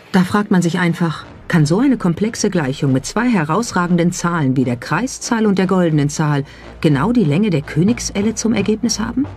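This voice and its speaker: Documentary narrator, medium pitch, measured and suspenseful scripted read, music underneath. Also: darkish tone. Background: background music.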